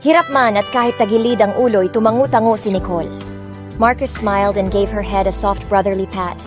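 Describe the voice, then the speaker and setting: Story narrator: Filipino-accented woman, medium pitch, expressive scripted read, faint music beneath. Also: fairly quick. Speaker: audiobook narrator. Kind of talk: dramatic first-person scripted narration. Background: soft music.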